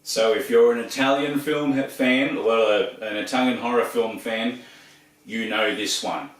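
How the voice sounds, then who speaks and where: A man's voice: medium pitch, unhurried. A man in his forties with an Australian accent, chatting casually about his collection with lots of ums and restarts. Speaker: video host. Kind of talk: casual show-and-tell. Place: room full of shelves and posters. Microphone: camera across the room. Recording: echoey room, distant mic.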